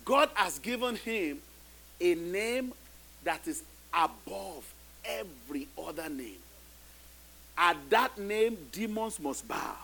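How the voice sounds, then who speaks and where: A man's voice: high voice, vocal fry, sharp tone. A middle-aged man, a pastor whose first language is not English, preaching a sermon with emphatic, repeated phrases that build. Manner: preaching with rising emphatic repetitions.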